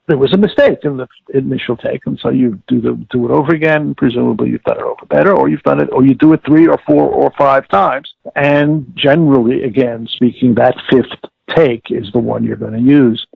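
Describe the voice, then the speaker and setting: An older man with a heavily creaky, husky voice gives an interview over a narrow, phone-like connection. He explains things deliberately, stopping to correct himself in rather formal wording. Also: mid-range voice, steady tone. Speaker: interviewee, former audio producer-director. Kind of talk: reminiscing interview, explaining a process. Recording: tinny phone-like line with room echo.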